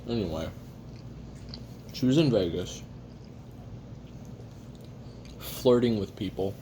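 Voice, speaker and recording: A man playing a weary, jaded assassin who records a private confession in a halting, sardonic, casually profane way while eating. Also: on the low side, animated, mellow, a little hiss or hum. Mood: dry, sardonic. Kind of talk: first-person confessional audio roleplay monologue.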